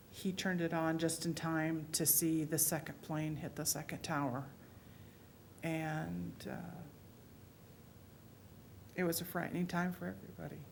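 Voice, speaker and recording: A middle-aged woman in an audience, speaking earnestly and with emotion about her memories. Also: slight background noise. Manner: earnest, emotional, low and even. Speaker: audience member. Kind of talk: personal reflection at a public talk. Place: public library meeting room.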